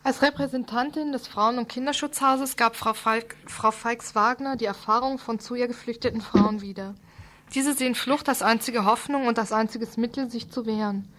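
A woman's voice: on the high side, fairly even intonation, normal speed, a bit bright. A woman presenting a scripted radio report, reading it out in an earnest, formal way.

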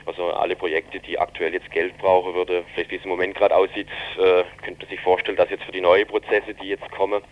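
A man with a low voice gives an unscripted account in an interview. He speaks colloquially and haltingly, searching for his words. The recording is dull and lo-fi. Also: completely flat delivery, no room sound. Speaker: interviewee reporting on an incident. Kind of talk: spontaneous spoken eyewitness-style account.

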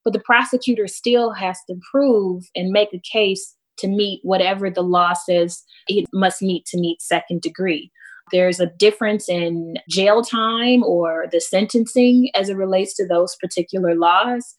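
A woman with an American accent speaking as a panelist, explaining things in a measured way with emphasis on key words. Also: medium pitch, steady tone, poor audio.

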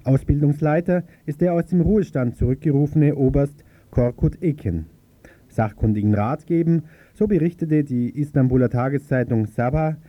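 A man reading a newspaper article aloud in an even, formal manner. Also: mid-range voice, dry recording. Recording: dry, slightly dull recording.

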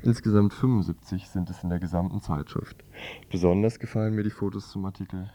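Low-voiced man reading a radio review. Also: steady tone, brisk, warm tone. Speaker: radio presenter reviewing a magazine. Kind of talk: scripted radio review narration.

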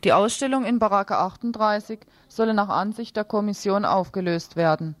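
A woman's voice: medium pitch, normal speed, dry recording. A woman co-presenting a radio news programme, reading out reports and announcements.

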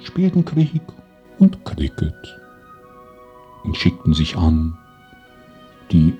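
A man's voice: rumbling low, sing-song, extremely slow, dark tone, highs cut off, soft background music. A man narrating a radio parody in a solemn, grandiose storyteller tone.

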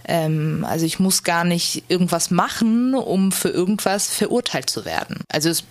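A woman with a slightly low voice gives a casual, personal interview account. She is hesitant and informal, often filling pauses as she works out what to say.

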